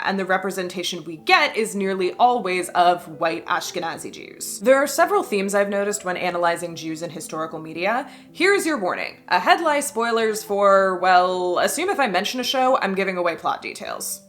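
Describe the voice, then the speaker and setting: A woman's voice: on the low side, lively intonation, brisk, hardly any pauses, fairly bright tone. A woman, a history and costume video creator, reading a scripted video essay in a wry voice with playful emphatic asides.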